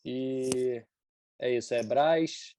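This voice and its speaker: A man's voice: medium pitch, extremely slow, dark tone, a little hiss or hum. A man in his forties with a Brazilian Portuguese accent, hosting an event in informal, slangy casual chat. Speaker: event host.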